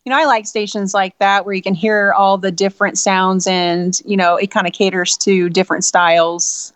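A woman singer with a low voice, giving earnest interview answers full of "you know". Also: fairly even intonation, moderate pace, no echo.

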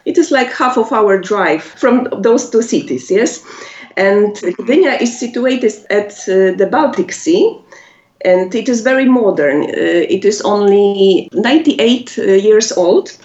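A woman with a Polish accent, a native Polish speaker, answering interview questions in halting English with many pauses and laughs. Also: mid-range voice, lively intonation, a little mellow. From Poland. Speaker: musician guest. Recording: echoey, muffled lo-fi audio.

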